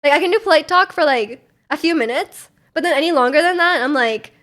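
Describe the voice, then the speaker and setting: A young woman from Canada with a high, light voice chats loosely to her stream audience. She rambles and giggles, filling her talk with "like" and quick restarts. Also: fairly bright tone. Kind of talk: casual chat.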